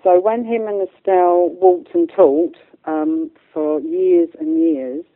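A woman's voice: lowish voice, fairly even intonation, slow pace, dry recording. Female interview guest, middle-aged or older, colloquial storyteller, narrow telephone-style sound. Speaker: spiritual medium, interview guest. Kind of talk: conversational life storytelling in a radio interview. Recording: thin phone-like band-limited line.

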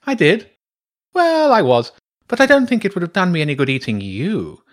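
An eccentric badger priestess from a storybook, played by a man, pitched far up and swinging wildly in tone. She is haughty and theatrical, given to grumbling and exclaiming. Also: wildly swooping pitch, moderate pace. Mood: coaxing, amazed. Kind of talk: character voice in a children's audiobook.

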